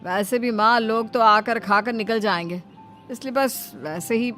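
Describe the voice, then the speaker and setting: A woman's voice: a little high, measured pace, fairly bright tone, faint music behind. A woman voicing a practical thirty-year-old son in a cartoon family drama, explaining in a casual, mildly exasperated manner.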